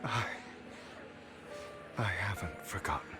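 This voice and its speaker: A low, very slow voice of a haunted young man in a video game. He is subdued and somber, speaking haltingly over music. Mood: guilty. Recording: music behind the voice.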